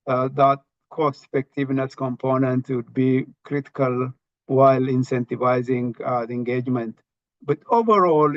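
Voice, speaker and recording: Male presenter, formal and halting, webinar talk; dry but dull, lo-fi sound. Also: mid-range voice, fairly bright tone, dry recording. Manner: halting, formal delivery with repeated words. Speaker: presenter from a global health funding organisation. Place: online webinar call.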